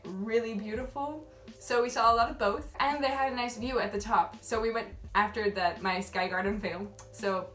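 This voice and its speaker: A woman's voice: mid-range voice, fairly bright tone. A young woman who grew up in Malaysia, chatting casually and rambling to camera as a travel vlogger, often laughing at herself. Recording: echoey room, mic at a distance, faint music.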